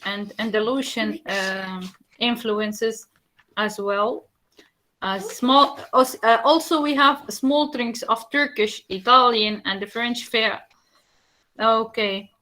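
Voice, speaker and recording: A woman's voice: fairly high pitch, pushed and bright, low-quality recording. Hesitant Tunisian woman presenting slides. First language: Arabic. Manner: hesitant, many fillers and restarts.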